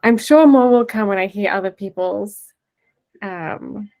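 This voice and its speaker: A woman's voice: medium pitch, measured pace, darkish tone. A woman taking part in a workshop, speaking hesitantly with fillers and small laughs. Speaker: workshop participant. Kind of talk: casual chat.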